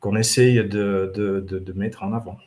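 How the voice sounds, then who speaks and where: A man who is not a native French speaker, a company manager answering interview questions at a trade show, halting with many fillers and restarts. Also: fairly low pitch, fairly even intonation, a little mellow. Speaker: company country manager, guest.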